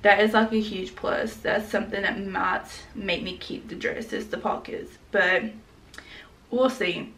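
A woman's voice: mid-range voice, fairly even intonation, measured pace, a bit bright, far from the mic. Chatty young woman, a bit off mic. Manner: chatty, frank, peppered with "like" and slang. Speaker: fashion vlogger. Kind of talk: casual try-on haul chat. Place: small room indoors at home.

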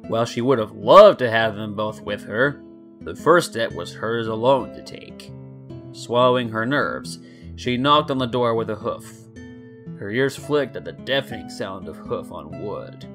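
A man's voice: fairly low pitch, animated, measured pace, a bit bright, faint music behind. A man in his twenties reading a scripted story as a dramatic narrator. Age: 20s. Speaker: story narrator and channel host.

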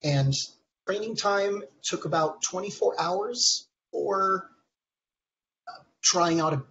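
A man in his thirties, hoarse from a cold, presenting research slides over a video call in a halting way, with many ums and restarts. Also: high voice, bright, forceful tone, slight background noise. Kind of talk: technical conference talk. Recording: dull lo-fi call audio.

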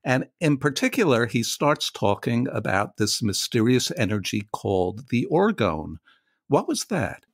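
A man hosts a conversational arts interview on the radio. His voice sits at a middle pitch and is recorded dry. He talks reflectively, as one thinking along with the guest. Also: sing-song, measured pace, dry recording.

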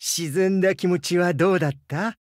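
A man playing a gentle, bashful crocodile diving instructor in a Japanese game, earnest and hesitant, easily flustered. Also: high-pitched, brisk, no echo, clean studio sound, close to an everyday voice. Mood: gentle, wistful. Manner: soft-spoken, hesitant, earnest, stammers when flustered. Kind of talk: Japanese game character voice lines.

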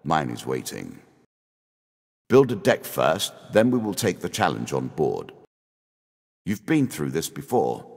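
An actor playing an ancient, immortal dealer in a fantasy card game, speaking in a theatrical, measured, ominous and condescending way. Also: male voice, a bass voice, huge pitch swings, creaky, a little mellow, breathy edge, heavily acted. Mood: stern, disdainful. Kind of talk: scripted fantasy game character lines. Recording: long reverb on the voice.

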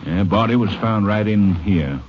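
A man's voice: on the low side, normal speed, natural voice. An actor playing an obliging, plain-spoken small-town lawman in a 1950s radio drama. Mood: matter-of-fact. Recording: dull old radio recording, clear noise.

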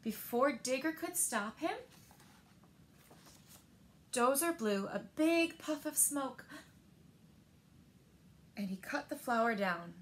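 Slow-paced, higher-voiced woman reading a storybook. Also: lively intonation, slight background noise. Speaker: library storyteller. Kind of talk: reading a picture book aloud to children. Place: indoors, small living room by windows.

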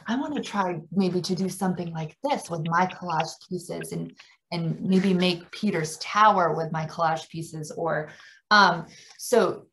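A woman's voice: fairly low pitch, measured pace, a bit bright, slight background noise. A woman presenting an informal training workshop for teachers over a video call.

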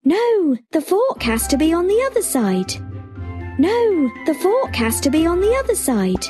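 A woman's voice: extremely high pitch, big pitch swings, unhurried, warm tone, soft background music, exaggerated voice. A woman playing a sweet, cheerful young princess in a children's storybook game, encouraging the listener. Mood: gently correcting.

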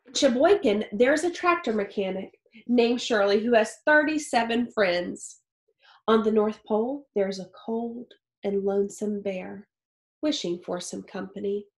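A woman in her thirties reading a picture book aloud like a teacher, expressively and with deliberate phrasing for young listeners. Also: mid-range voice. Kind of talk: expressive children's read-aloud. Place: small home office or study with wooden shelves. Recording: roomy, echoey indoor sound.

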